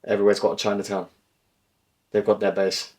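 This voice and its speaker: A man's voice: lowish voice, level tone, brisk, darkish tone. A young man from England, trading laid-back, slangy banter as a podcast co-host. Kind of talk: casual chat.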